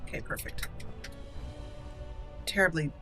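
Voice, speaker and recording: A woman hosting a let's-play video, commenting casually and talking to herself and the game characters as she plays. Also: a little slow. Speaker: gaming video host. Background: game music.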